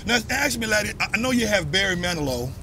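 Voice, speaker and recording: A man with a somewhat high voice hosts in a casual, conversational way. He prompts others and speaks straight to listeners. Faint ambient noise sits behind him. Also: very animated, fast, bright, forceful tone, rough recording. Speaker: host. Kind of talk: casual chat.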